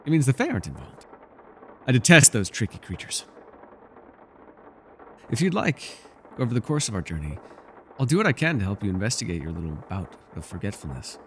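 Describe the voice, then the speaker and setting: A man playing an ancient, wistful male elf in an intimate ASMR roleplay, telling things in a measured, gently teasing way. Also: on the low side, sing-song, slight background noise. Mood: playful, teasing. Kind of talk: ASMR character roleplay, intimate scripted monologue.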